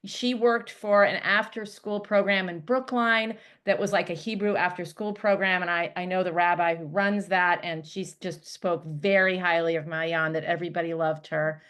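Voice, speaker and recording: A woman's voice: on the low side, fairly even intonation, moderate pace, hardly any pauses, a bit bright, clean tone. A woman presenting a school update on a video call, chatty and upbeat, with many fillers and restarts. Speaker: religious school director.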